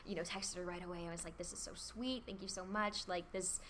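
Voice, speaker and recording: A woman who works as a nanny, telling an emotional story softly to a group, with restarts. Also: lowish voice, steady tone, brisk, a little hiss or hum. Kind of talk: casual group sharing.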